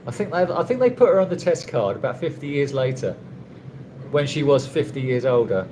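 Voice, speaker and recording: A man casually answering viewers' questions on a live stream, rambling and halting with many restarts and a dry, wry humor. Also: mid-range voice, expressive, a little mellow, slight background noise. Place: condo room.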